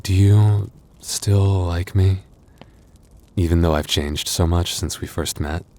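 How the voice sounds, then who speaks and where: Man acting a very deep-voiced, gentle werewolf boyfriend. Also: steady tone. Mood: vulnerable, hopeful. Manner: intimate, hesitant, soft-hearted, halting. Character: gentle, anxious young male werewolf boyfriend. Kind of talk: boyfriend ASMR audio roleplay.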